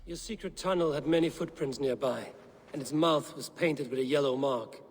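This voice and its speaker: A man playing a stoic, grim Viking warrior in a video game, speaking in blunt, measured, probing questions. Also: mid-range voice, husky, big-room sound. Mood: grave, probing. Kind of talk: video game dialogue acting.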